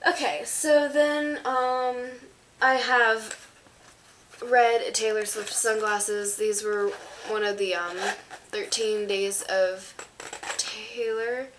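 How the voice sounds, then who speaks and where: Stuffed-up teen girl rambling slowly through her stuff in her bedroom, faint hiss. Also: medium pitch, a bit bright. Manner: chatty, rambling with fillers. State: congested, under the weather. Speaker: vlogger. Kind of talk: casual product show-and-tell to camera. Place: small bedroom indoors. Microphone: camera on a stand in front of her.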